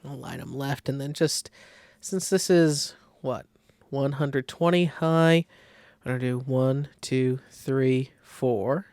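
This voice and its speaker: A man giving a casual software tutorial, talking informally and thinking aloud as he works, with a creaky, gravelly voice. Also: medium pitch, steady tone, takes it slowly, no echo. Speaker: design software tutorial host. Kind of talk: casual screen-recorded tutorial.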